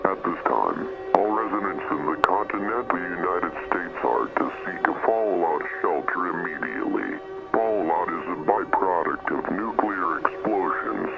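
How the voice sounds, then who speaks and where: A man reading a scripted emergency broadcast announcement in a flat, formal, synthetic way. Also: low-pitched, normal speed, vocal fry, lo-fi sound, over loud music.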